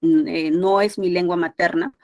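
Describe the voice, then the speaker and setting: A woman's voice: lowish voice, fairly even intonation, normal speed, darkish tone, no echo, clean studio sound. A woman from Peru with a Peruvian Spanish accent, giving an exam prep language lecture in a conversational way, with many tag questions and restarts. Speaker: language teacher.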